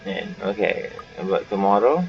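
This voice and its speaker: A man hosting a gaming channel, giving casual, halting, rambling playthrough commentary. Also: sits low, animated, vocal fry. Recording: dull lo-fi mic. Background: game music.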